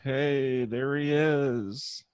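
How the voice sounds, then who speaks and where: A man's voice: medium pitch, steady tone, extremely slow, a little mellow, clear, resonant tone. Dry, sardonic male roommate, played by a man. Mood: drunk, teasing. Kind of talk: table read of a comedy screenplay.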